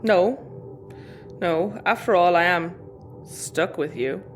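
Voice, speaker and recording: A woman playing a level-headed, knowing space crew member in a sci-fi audio comedy, with a dry, measured delivery. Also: fairly low pitch, soft background music. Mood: wry, fond.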